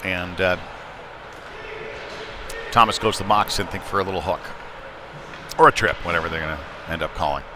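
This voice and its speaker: A man's voice: low-pitched, moderate pace, pauses often, background noise. A man giving live play-by-play commentary of a youth ice hockey game, with conversational, folksy asides.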